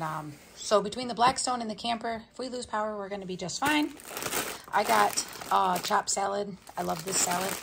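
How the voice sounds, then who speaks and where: A woman's voice: mid-range voice, creaky, fairly bright tone, slight background noise. A middle-aged woman, chatting casually and matter-of-factly in a rambling home vlog. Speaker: home vlogger. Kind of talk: casual chat, showing a grocery haul.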